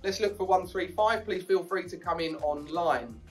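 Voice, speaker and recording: A man in his thirties with an English accent, working as a property auctioneer and calling bids at a live auction in a brisk, encouraging way. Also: high-pitched, recorded from a distance, soft background music. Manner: brisk, upbeat, encouraging bidders. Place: small auction room with banner backdrop. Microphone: podium mic, filmed from a distance.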